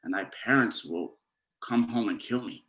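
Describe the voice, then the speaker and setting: A man's voice: lowish voice, moderate pace, fairly bright tone, lo-fi sound, natural voice. A man playing a lovestruck teenage boyfriend in a theatrical Shakespearean verse reading. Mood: tender, wistful. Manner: dramatic, theatrical delivery. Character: lovestruck teenage boyfriend reluctant to leave his girl. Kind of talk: Shakespearean verse acting in an online staged reading.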